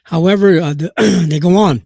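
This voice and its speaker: A man from Nebraska hosting a boxing news live stream, hoarse from a cold, reading aloud haltingly with many fillers and restarts. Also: high-pitched, dark tone, no echo, clean studio sound.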